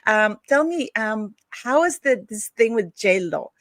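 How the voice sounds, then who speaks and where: A woman's voice: medium pitch, lively intonation, a little slow, a bit bright, dry recording, clean studio sound. A woman from Norway, hosting an interview in an eager, gushing, chatty way with many restarts. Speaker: host, interviewer.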